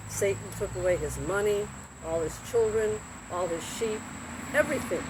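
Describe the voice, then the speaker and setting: A woman hosting a Bible story time, reading a children's storybook aloud in simple, deliberate phrases with expressive emphasis. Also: medium pitch. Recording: very noisy recording with steady background noise.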